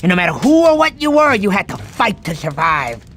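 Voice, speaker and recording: A man voicing a goofy, good-natured cartoon sloth in a game cutscene, rambling and excitable with a slushy lisp. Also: high-pitched, fairly quick, a little hiss or hum. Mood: dramatic, flustered. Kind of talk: animated game cutscene storytelling.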